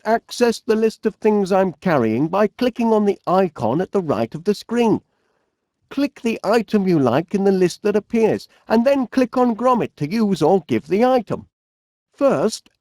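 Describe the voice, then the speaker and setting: A man's voice: sits high, darkish tone, no room sound, studio quality. A man playing a cheery, eccentric middle-aged inventor in a cartoon video game, with a Northern English accent and a dotty, genial, musing manner. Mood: helpful, instructive.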